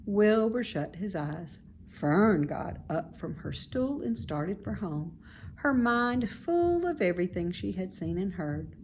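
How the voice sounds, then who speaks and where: A woman reading a children's story aloud in expressive narration. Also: mid-range voice, slow, slight background noise. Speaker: storybook reader.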